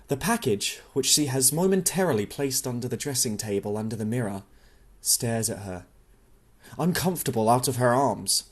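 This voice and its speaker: A man's voice: mid-range voice, animated, normal speed, breathy edge. Man reading a literary novel aloud. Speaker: audiobook narrator.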